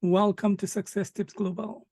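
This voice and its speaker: A man hosting an interview, asking short questions calmly and acknowledging answers with frequent murmurs. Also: high voice, moderate pace, gravelly, no room sound, studio quality. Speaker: interview host.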